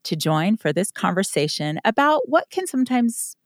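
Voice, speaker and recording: A woman in her forties hosting a podcast interview, animated and enthusiastic. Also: mid-range voice, normal speed, no room sound, clean studio sound. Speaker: podcast host. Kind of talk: casual interview.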